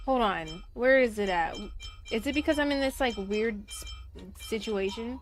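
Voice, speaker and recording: A woman with a fairly high voice chats casually while livestreaming a game. Her talk is halting and exclamatory, with phrases started and repeated, over clearly audible music. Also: expressive. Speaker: live streamer playing a game. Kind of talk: casual livestream chat with viewers.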